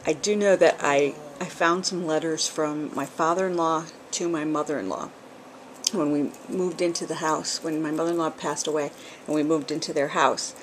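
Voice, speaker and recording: A woman's voice: deep voice, fairly even intonation, moderate pace, a little hiss or hum, low-quality recording. Chatty middle-aged New England woman. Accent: New England, with some Southern. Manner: chatty, rambling, with frequent ums and small laughs. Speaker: vlogger. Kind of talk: casual chat. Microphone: camcorder built-in mic.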